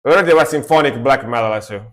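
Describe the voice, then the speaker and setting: A man's voice: mid-range voice, fast. A young man with an Italian accent, non-native English speaker, giving casual, enthusiastic music reactions on a live stream with a rambling, repetitive way of talking. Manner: enthusiastic, rambling, repeats words like "sick".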